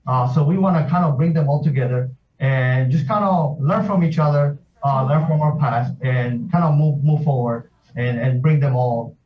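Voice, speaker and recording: A man's voice: mid-range voice, normal speed, few pauses, recorded from a distance, dull audio. A man from South America who is president of a heritage group, speaking steadily with many hesitations in a remote interview. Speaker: association president, military sergeant.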